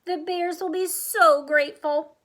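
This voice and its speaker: A woman plays a bossy storybook lady, older and very pleased with herself. The voice is high and swoops about as she gushes in a hoity-toity, exclaiming manner. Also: big pitch swings, a little slow, a bit bright, exaggerated voice. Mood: delighted. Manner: hoity-toity, exclaiming, breezily self-congratulatory.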